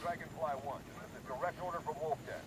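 A man's voice: on the high side, fairly even intonation, fast, fairly bright tone, lightly acted, low-quality recording. A man playing a stern, curt military commander giving radio orders in a video game. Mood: stern, urgent. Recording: game playback with background noise.

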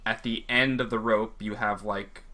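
A man taking part in a tabletop game over a video call, thinking out loud with hedges and restarts. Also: fairly low pitch, fairly even intonation, moderate pace. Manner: thinks aloud, hedging and restarting. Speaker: player.

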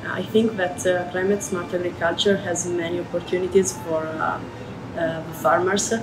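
Italian woman, measured and flat-toned. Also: fairly low pitch, noisy background. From Italy. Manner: thoughtful, many pauses with uh. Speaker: winemaker. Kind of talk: interview answers. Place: indoor room by a window. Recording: echoey room.

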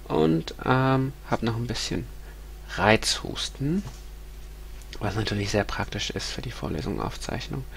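A man's voice: mid-range voice, expressive, a little slow, vocal fry, a little hiss or hum. A man in his thirties with a stuffy nose from a cold, giving a recorded university lecture over slides, hesitant with many ums and restarts. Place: small room at home.

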